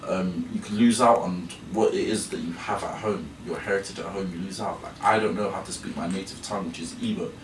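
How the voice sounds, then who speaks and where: A man's voice: sits low, steady tone, brisk, hardly any pauses. A young man from England giving a student presentation, hesitant and often restarting his phrases. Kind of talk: school presentation. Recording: echoey room, from a distance, noisy.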